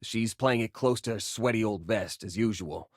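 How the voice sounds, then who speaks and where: An actor playing an easygoing young space miner in a video game, with a casual, joking way of talking. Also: male voice, on the low side, normal speed, dry recording, clean studio sound. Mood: casual, wry. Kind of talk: video game companion voice lines.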